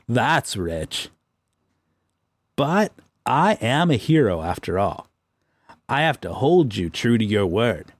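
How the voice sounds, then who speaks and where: A man's voice: medium pitch, sing-song, takes it slowly, pauses often, dry recording. Man acting a pompous, theatrical, gloating superhero. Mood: smug, challenging. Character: pompous, taunting male superhero sergeant, electric-powered, gloating. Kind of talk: comic superhero audio drama.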